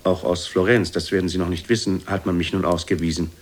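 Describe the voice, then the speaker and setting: A man's voice: low-pitched, little pitch movement, fast talker. An actor playing a meek, despondent ageing womanizer in a radio play, pleading apologetically and defensively with his mother. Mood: remorseful, sad. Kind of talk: German radio play acting.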